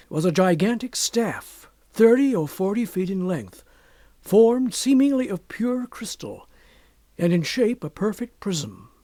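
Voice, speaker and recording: A male audiobook narrator with a husky, fairly high-set voice reads a classic horror tale. His formal, measured delivery swings widely in pitch as he shapes each deliberate phrase. The sound is dramatic and literary. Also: darkish tone, no echo.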